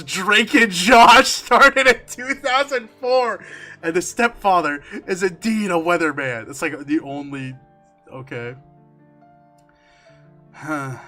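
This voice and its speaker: Bright-voiced man dramatically reading horror. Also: high-pitched. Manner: expressive, emphatic, swearing, breaking into amused asides. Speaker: streamer reading a horror story aloud. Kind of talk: dramatic story reading with casual asides. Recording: music under the voice. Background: background music.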